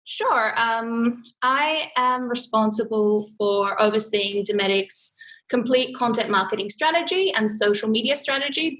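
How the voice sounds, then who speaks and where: A woman's voice: mid-range voice, a bit bright, low-quality recording. Chatty woman with a faint Australian accent. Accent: mild Australian, softened by years in Sweden. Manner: chatty, many likes and ums. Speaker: guest, content marketing manager. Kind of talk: remote podcast interview.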